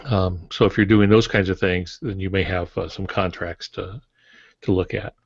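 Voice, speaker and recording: A man's voice: deep voice, fairly even intonation, normal speed, creaky, breathy edge, no echo. A man in his sixties explaining things in a podcast discussion over a video call from his home office. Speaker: podcast panelist, veteran author. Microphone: earbuds on a video call. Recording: dull, compressed video-call audio.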